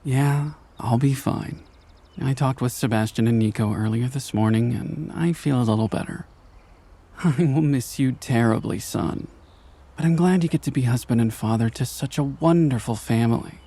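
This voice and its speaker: A gentle, sentimental older-generation dad, acted by a man in a motion comic. His voice is mellow and husky at a medium pitch, and he speaks softly and earnestly. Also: moderate pace, natural voice. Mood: wistful. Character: gentle, sentimental father of grown son.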